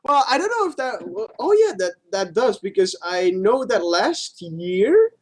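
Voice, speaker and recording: High-pitched man chatting about gaming. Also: sing-song, measured pace. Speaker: co-host of a gaming talk show. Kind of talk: casual gaming chat, explaining esports news.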